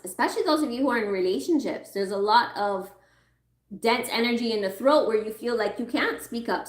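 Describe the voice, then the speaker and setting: A woman reading tarot cards for her viewers in a chatty, reassuring way. She is losing her voice and keeps clearing her throat. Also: mid-range voice, reverberant. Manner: chatty, reassuring, thinks aloud while pulling cards. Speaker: tarot reader.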